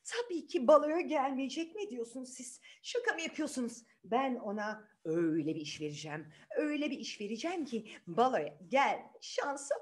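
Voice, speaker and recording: A woman's voice: high voice, sing-song, normal speed, few pauses, pitched above the performer's own voice. A woman voicing a scheming, scornful fairy-tale stepmother who speaks in a mocking, emphatic way. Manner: mocking, emphatic, repeating herself. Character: scheming, scornful stepmother with two spoiled daughters.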